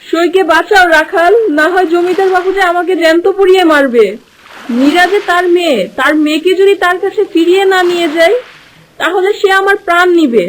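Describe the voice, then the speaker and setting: Woman as a very high-pitched fretful village girl. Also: a little fast, a little hiss or hum, poor audio. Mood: panicked, pleading. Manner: pleading, fretful. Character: anxious young village girl, loyal, scolding friend. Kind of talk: Bengali fairy-tale cartoon dub.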